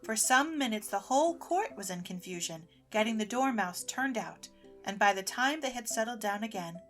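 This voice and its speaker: Woman narrator reading a story, middle pitch, music playing behind. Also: animated, moderate pace. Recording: music under the voice.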